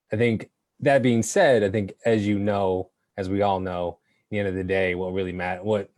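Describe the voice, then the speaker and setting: A man raised in the United States, a professional athlete speaking on a panel in a thoughtful, halting way with many ums. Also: low-pitched, a little mellow, dry recording. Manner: thoughtful, frequent ums, restarts. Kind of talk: panel discussion.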